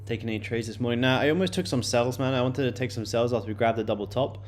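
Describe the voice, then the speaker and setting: A man's voice: mid-range voice, fast talker, a little hiss or hum. A man in his late twenties chatting loosely to viewers on a live stream, with many fillers and repeated words. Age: late 20s. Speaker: day-trading livestream host. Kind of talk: casual live-stream chat answering viewers.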